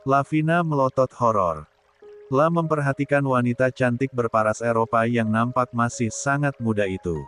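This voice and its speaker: A man reads a story aloud as a scripted, dramatic narration. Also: medium pitch. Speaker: audiobook narrator. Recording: music bed behind the voice.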